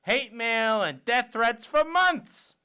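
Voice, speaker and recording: An actor playing an excitable grown son from a jazz family in a comedic radio play. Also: male voice, very high-pitched, slow pace, clean tone, heavily acted.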